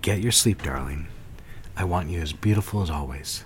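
An actor playing an attentive male suitor, speaking intimately and coaxingly with a husky voice in a dramatic dialogue. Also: low-pitched, moderate pace. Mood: affectionate, coaxing.

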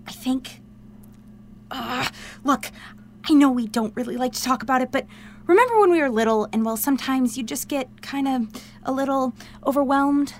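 A young woman playing an excitable, caring, pushy confidante who talks in an informal, earnest, coaxing way in a modern audio drama. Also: high voice, normal speed, a little hiss or hum, close to an everyday voice. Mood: gentle, coaxing.

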